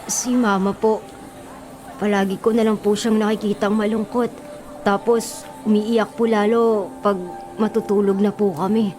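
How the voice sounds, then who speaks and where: A woman's voice: medium pitch, little pitch movement, unhurried, long pauses, a little mellow, lightly acted. A woman with a Filipino accent voicing a polite, earnest, downhearted young schoolboy in a radio drama. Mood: sad. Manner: respectful, childlike, earnest. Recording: music under the voice.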